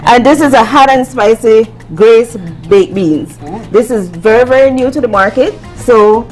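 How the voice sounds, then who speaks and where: A woman's voice: a little high, a little slow, soft background music, rough recording. A middle-aged woman, chatting as a brand representative as she explains promotions and products. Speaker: brand representative guest on a cooking show. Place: TV studio kitchen set. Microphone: lapel mic.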